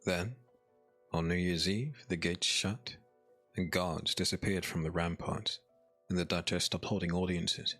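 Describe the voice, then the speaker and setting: A man narrating a dark fantasy audiobook as a scripted story, in a solemn, measured manner. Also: sits low, steady tone, faint music behind.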